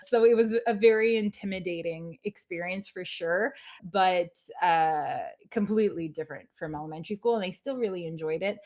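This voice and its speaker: Woman, 30s, from Quebec, French native speaker, webinar host, chatty, laughs often. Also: on the low side. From Quebec, Canada. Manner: chatty, self-deprecating, laughs often, many "like" fillers. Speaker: teacher hosting a webinar. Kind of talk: casual webinar talk.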